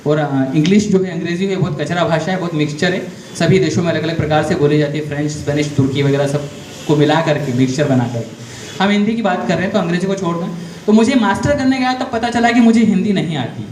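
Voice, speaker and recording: High-voiced man lecturing in echoey room. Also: fast, a little mellow. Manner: addresses the audience with frequent direct questions; storytelling, explains step by step. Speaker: career guidance speaker to school students. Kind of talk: motivational talk to a class. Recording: echoey room, faint background noise.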